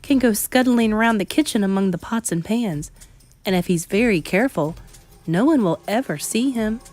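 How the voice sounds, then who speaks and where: A woman's voice: medium pitch, expressive, moderate pace, a little mellow, soft background music, lightly acted. A clever little boy, now a mouse, speaks up in a slightly raised, eager voice, performed by a woman reader. He sounds earnest and sure of his ideas.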